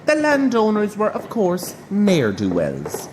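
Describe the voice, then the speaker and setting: A woman's voice: mid-range voice, huge pitch swings, slow, darkish tone, slight background noise. A woman with an Irish accent playing a droll tour guide giving grim history patter in an audio drama.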